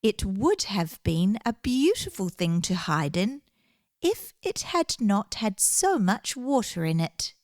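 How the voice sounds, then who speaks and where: A woman reading a children's story aloud in an expressive, gentle, deliberate storytelling manner. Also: mid-range voice, no echo, studio quality.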